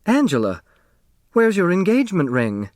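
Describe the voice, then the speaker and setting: A man's voice: high voice, huge pitch swings, moderate pace, a little mellow, dry recording, studio quality, voice pushed up. A man voicing a middle-aged social-climbing mother in a storybook, wheedling and honey-sweet with affected airs. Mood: alarmed.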